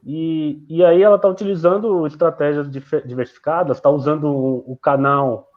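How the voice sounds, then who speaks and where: A man's voice: fairly high pitch, steady tone, moderate pace. A man who is a physical education teacher, speaking reflectively and hesitantly on an online panel. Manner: reflective, hesitant, many fillers and restarts. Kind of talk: casual online panel discussion. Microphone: video call. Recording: lo-fi video call audio.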